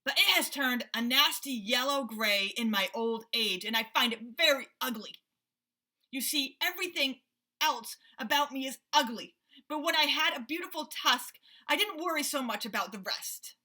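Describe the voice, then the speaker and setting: A self-pitying old male rhinoceros, read aloud by a woman, complains loudly and mournfully in a storybook. The voice sits a little high and sounds bright and clear. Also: lively intonation, normal speed, full character voice. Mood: sorrowful. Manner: loud, weepy and complaining.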